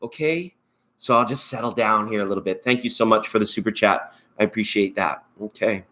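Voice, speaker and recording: A man's voice: lowish voice, fairly quick, pushed and bright. A Canadian man hosting a live tarot stream, chatting in a rambling, upbeat way and thanking viewers by name. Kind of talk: casual live-stream chat.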